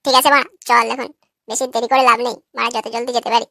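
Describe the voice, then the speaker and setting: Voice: mid-range voice, level tone, fast, sharp tone, dry recording, studio quality. A performer voicing a crude, cocky young neighborhood prankster in a cartoon, always teasing.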